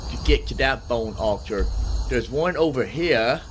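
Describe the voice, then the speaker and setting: A man's voice: mid-range voice, expressive, unhurried, background noise. A man giving casual gameplay commentary as a streamer, thinking aloud in a rambling way and often repeating himself. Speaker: gaming streamer.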